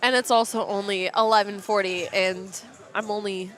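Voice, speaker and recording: A woman's voice: medium pitch, steady tone, moderate pace, a bit bright, soft background music. A young woman with a Cleveland accent doing casual, bubbly color commentary at a powerlifting meet, laughing often. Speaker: livestream commentator. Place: powerlifting competition venue.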